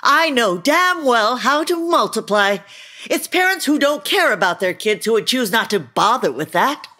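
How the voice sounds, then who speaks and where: Unsentimental middle-aged mom, fairly high voice, curt, scolding and sardonic. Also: female voice, animated, normal speed, pushed and bright. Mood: scolding, indignant. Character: tough, unsentimental, scolding immigrant-family mother. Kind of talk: audio play acting.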